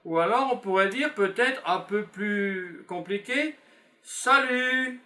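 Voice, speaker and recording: Slow, bright-voiced male French teacher. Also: high-pitched. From Belgium. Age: 50s. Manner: slow, patient, repeats phrases while writing. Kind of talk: classroom lesson for beginners.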